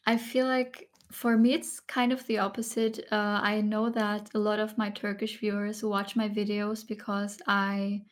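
A woman's voice: mid-range voice, little pitch movement, unhurried, hardly any pauses. A young woman whose first language is German, talking casually as a podcast guest with lots of ums and restarts. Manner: hesitant, many ums and restarts. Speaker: podcast guest, ASMR creator. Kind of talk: casual interview chat.

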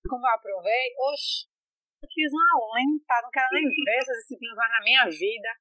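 A woman's voice: a little high, fairly quick, no room sound, low-quality recording. A woman from Brazil, telling stories in a casual interview with lots of fillers and restarts. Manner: chatty, animated storytelling with many fillers and restarts. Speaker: interviewee, foreign master's student in France.

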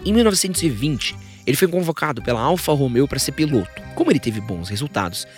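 A man's voice: mid-range voice, animated, fast, soft background music. A man hosting a scripted biographical podcast, with informal joking asides. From São Paulo, Brazil. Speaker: podcast host. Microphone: studio podcast microphone.

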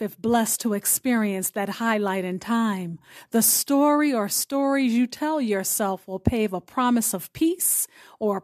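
A woman hosting a radio storytelling podcast, phrasing things expressively and deliberately. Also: a little high, darkish tone, no echo, clean studio sound. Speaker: podcast host. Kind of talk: radio show hosting and sign-off.

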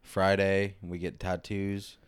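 Easygoing young man, very deep dry voice. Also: monotone, slow pace, clean tone, dry recording. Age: 20s. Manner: easygoing, agreeable, says "dude" and "like" a lot. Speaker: podcast guest. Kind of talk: casual chat.

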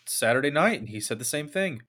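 A man's voice: mid-range voice, lively intonation, brisk, bright, forceful tone. A man, hosting a casual sports podcast in a joking, self-mocking, rambling way. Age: 30s. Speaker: podcast host.

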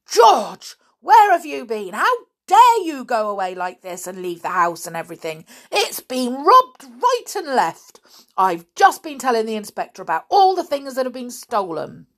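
A woman's voice: fairly low pitch, expressive, normal speed, husky. A woman voicing a stern, flustered father in a children's story, speaking emphatically and scoldingly. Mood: angry.

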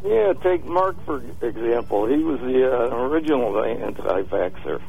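A man's voice: mid-range voice, lively intonation, normal speed, gravelly. A man calling in to a radio show by telephone, chatting casually. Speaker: phone-in caller. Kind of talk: casual chat. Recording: tinny, noisy phone line.

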